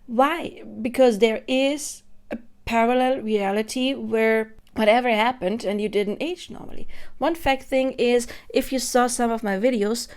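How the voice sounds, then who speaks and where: A woman in her thirties talks to camera in a loose, spontaneous monologue. She is earnest and rambling, working out her thoughts as she speaks, in a voice pitched slightly above average. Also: measured pace, darkish tone, clear, resonant tone. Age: 30s. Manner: earnest, rambling, thinks aloud. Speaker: spiritual vlogger.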